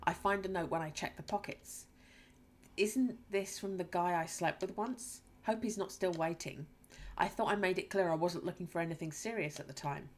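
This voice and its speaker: A woman's voice: lowish voice, moderate pace, a little hiss or hum, lightly acted. A woman with a stuffy nose reading aloud the inner thoughts of a cold, fear-hungry young musician in a game.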